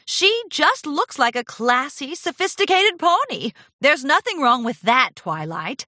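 A woman's voice: very high voice, takes it slowly, bright, forceful tone, no room sound, clean studio sound, voice pushed up, exaggerated voice. A woman voicing a vain, theatrical fashionista pony for a children's storybook, with refined, affected and easily wounded airs. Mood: haughty.

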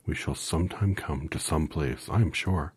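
A man's voice: extremely low pitch, moderate pace, darkish tone, slightly rough, full character voice. A man reading aloud and voicing an earnest young girl in a storybook, raising his voice for her.

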